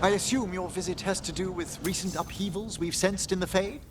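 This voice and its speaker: A man's voice: high-pitched, fairly even intonation, a little fast, noisy background, lightly acted. An actor playing a courteous, scholarly necromancer professor in a video game, a middle-aged man with formal, eloquent speech. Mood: gentle, polite. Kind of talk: video game character acting.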